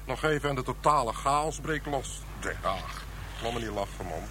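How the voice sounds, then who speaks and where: An actor playing a disgruntled, cynical police technician with a scornful manner in a radio drama. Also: male voice, mid-range voice, animated, moderate pace, background noise, natural voice.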